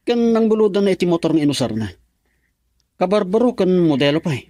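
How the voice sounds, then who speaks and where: Radio drama casting: jealous, sneering Ilocano youth, swinging intonation, dry close studio sound. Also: male voice, high voice, animated, fast talker, warm tone, no echo. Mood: suspicious. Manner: accusing, sneering.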